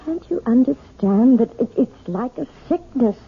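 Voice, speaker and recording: An actress playing a timid, hesitant, anxious married housewife in an old-time radio drama. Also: female voice, on the high side, lively intonation, husky, heavily acted. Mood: anxious, pleading. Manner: hesitant, halting, apologetic. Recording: muffled, phone-like vintage radio recording.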